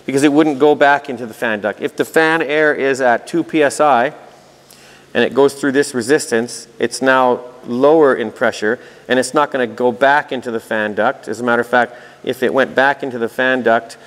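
A man giving an informal technical walkthrough, reading aloud and thinking out loud with dry asides. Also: medium pitch, steady tone, moderate pace. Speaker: host of a technical explainer video. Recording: reverberant room with long echo.

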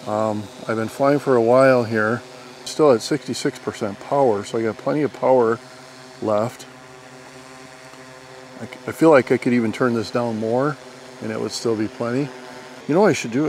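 A man's voice: medium pitch, darkish tone, rough recording. A man in his fifties with an American accent gives a plainspoken, explanatory demonstration and review in a conversational style. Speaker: product reviewer and drone pilot. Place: outdoors on an open lawn. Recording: outdoor recording with background noise.

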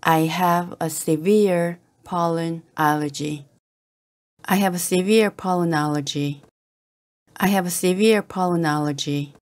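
Woman slowly drilling English phrases. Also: on the low side. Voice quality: careful, clipped repetition. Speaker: English teacher. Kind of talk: slow drill reading of phrases.

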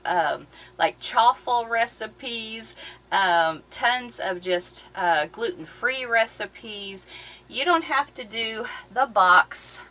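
A woman's voice: mid-range voice, pushed and bright, rough recording. A middle-aged woman chatting casually while she cooks in a small boat cabin, rambling with lots of ums and uhs, filmed by a camera a few feet away. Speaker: vlogger cooking on a boat. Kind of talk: casual how-to chat. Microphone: fixed camera a few feet away.

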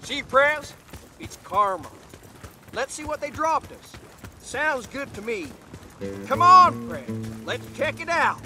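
An actor voicing a gleeful, excitable army private who loves explosives in a video game. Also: male voice, very high voice, slow pace, pauses often, soft background music, exaggerated voice. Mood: cocky, upbeat. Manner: excitable, loud, cocky. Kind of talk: video game character dialogue. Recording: noisy battlefield gunfire and vehicles. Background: gunfire, vehicles, battle ambience.

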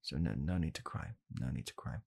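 A young man playing a blunt, callous father in an ASMR comedy roleplay, speaking softly and haltingly in a deadpan way. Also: very deep voice, creaky, husky, heavily acted. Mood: deadpan blaming. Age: young adult. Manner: soft-spoken, halting, deadpan. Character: blunt, callous father calmly blaming his child. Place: small home room, indoors.

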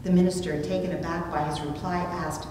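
A woman's voice: little pitch movement, fairly quick, darkish tone. Echoey, distant woman preaching. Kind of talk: sermon with storytelling, read from notes. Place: small indoor church room, at a pulpit. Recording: distant mic, very echoey room, rumbly noise.

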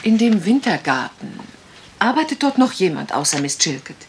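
A woman playing a curious, sharp-witted amateur detective in a radio crime drama, musing and probing conversationally. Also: mid-range voice, very animated, moderate pace. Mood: curious, polite. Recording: clear background noise.

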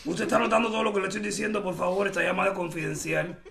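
A young woman making a live prank phone call, playing a bossy immigration official in a deadpan, officious manner. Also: mid-range voice, very fast. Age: young adult. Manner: deadpan, officious, spelling things out. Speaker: prank caller. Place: small indoor room at a table. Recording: echoey room, clear noise, clicks.

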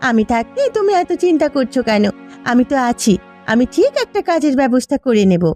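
Casting: woman as poor farmer's wife, cartoon, very high voice, caring and earnest, music behind. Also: sing-song, fairly quick, mellow. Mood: reassuring. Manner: pleading, solicitous. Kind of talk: Bengali cartoon voice acting.

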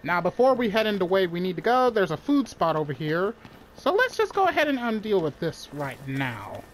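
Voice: mid-range voice, very animated, normal speed, slight background noise, low-quality recording. A gamer giving casual let's play commentary, with a nose stuffed up from a cold. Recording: voice-over with game music behind. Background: video game music and sounds.

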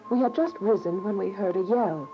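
A woman's voice: medium pitch, brisk, lightly acted. An actress playing a devout young woman on the run, narrating her story earnestly in a radio drama. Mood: serene, reverent. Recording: dull lo-fi radio drama, music behind.

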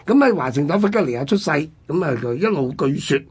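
A man with a Hong Kong accent and a husky voice, hosting a radio history programme in a conversational, explanatory storytelling way. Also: a little high, lively intonation, fairly quick, a little mellow. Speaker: radio host.

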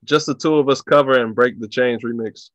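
Bright-voiced man on a headset call. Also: mid-range voice, dry recording, muffled recording. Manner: halting, repeats words, laughs easily. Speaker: podcast host. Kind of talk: casual video-call interview. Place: small home room. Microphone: headset on a video call.

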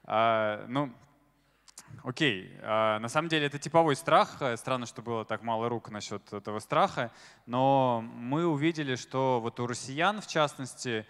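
A man's voice: mid-range voice, moderate pace, fairly bright tone, smooth. A man in his thirties talks to a crowd from a large stage, holding a handheld microphone. He speaks casually and fills his sentences with small hesitations, now and then putting questions to the listeners. His mid-range voice rings out with the long reverb of a big hall. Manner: conversational, filler-heavy, asks the audience questions. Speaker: conference speaker. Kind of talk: live stage talk to an audience.

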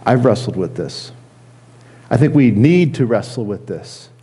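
A middle-aged man, a pastor, preaching a conversational sermon in an earnest, halting way with repeated phrases. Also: medium pitch, lively intonation, warm tone, breathy edge. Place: church sanctuary, at a lectern indoors.

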